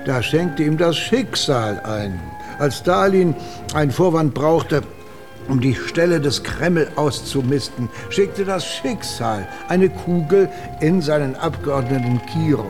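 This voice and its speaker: A man's voice: a little high, lively intonation, slow, over music. A man playing a pompous, scheming Soviet leader in a German radio drama, speaking in a declamatory way. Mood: pompous, declaiming.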